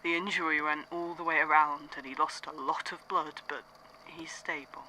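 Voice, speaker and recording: An actor playing a shaken young man giving a subdued, halting statement in a fan audio drama. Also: a little high, level tone, bright, forceful tone, a little hiss or hum, rough recording. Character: shaken young man recounting theatre disaster. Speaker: statement giver.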